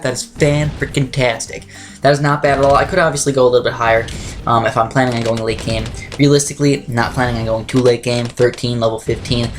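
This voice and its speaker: A young man with a slightly raspy voice, talking quickly through game strategy as a let's play commentator. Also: mid-range voice. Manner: fast, chatty, self-correcting strategy talk. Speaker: gameplay commentator.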